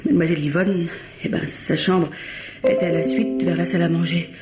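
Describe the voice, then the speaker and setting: An actress playing an earnest, fussy working-class concierge in an old radio drama, chatty, deferential and eager to explain. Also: female voice, on the low side, fairly even intonation, fairly quick, darkish tone, faint music behind, close to an everyday voice. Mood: earnest recounting. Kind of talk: mid-century French radio drama acting. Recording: dull, roomy, noisy vintage radio recording.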